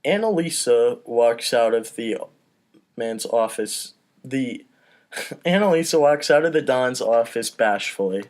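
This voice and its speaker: A man's voice: mid-range voice, expressive, a little slow, a little mellow. A man hosting a casual comedy table read, reading stage directions and giving informal, self-deprecating asides.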